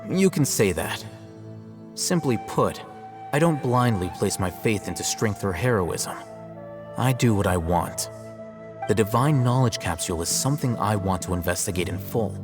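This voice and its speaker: Cerebral young scribe for a game, voiced by a man: cool, detached, lowish voice, long reverb, music behind. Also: lively intonation, close to an everyday voice. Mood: coolly frank. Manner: measured, detached, dryly matter-of-fact. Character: aloof, cerebral young male scholar scribe, coolly self-assured. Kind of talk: video game character dialogue.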